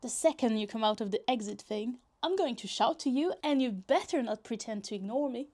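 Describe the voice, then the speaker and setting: A woman's voice: on the high side, expressive, brisk, a little mellow. A woman playing a possessive, lovesick yandere young woman in a roleplay ASMR, teasing, pouty and dramatic in casual, conversational speech. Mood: affectionate, clingy.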